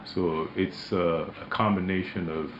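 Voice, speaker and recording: A man with a deep, imposing voice, a managing director answering questions in a radio interview, measured and hesitant with many fillers. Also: steady tone, roomy sound, lo-fi sound, background noise. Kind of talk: radio interview, relaxed business talk. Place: radio studio.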